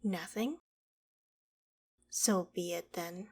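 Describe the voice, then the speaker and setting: Girl voicing an old dragon lady, haughty and theatrical, really slow talking, asmr roleplay. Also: lowish voice, husky, full character voice. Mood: menacing, gloating. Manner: theatrical, deliberate, imperious phrasing. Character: ancient female dragon, haughty, menacing, curious about humans. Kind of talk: ASMR roleplay, dramatic monologue to the listener.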